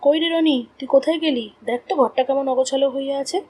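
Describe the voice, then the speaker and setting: A woman's voice: sits high, fast, darkish tone, close to an everyday voice. A woman voicing a cruel, bossy stepmother in a cartoon, scolding and threatening in a scornful manner. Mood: angry scolding. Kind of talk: cartoon voice acting.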